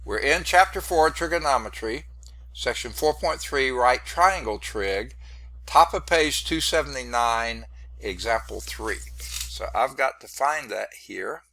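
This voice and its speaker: A hoarse, congested man teaching a math class over a video call, narrating his screen setup in a rambling, self-deprecating way. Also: mid-range voice, lively intonation, measured pace, sharp tone, dry recording. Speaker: math instructor. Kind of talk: online class lecture. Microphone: computer mic on a video call.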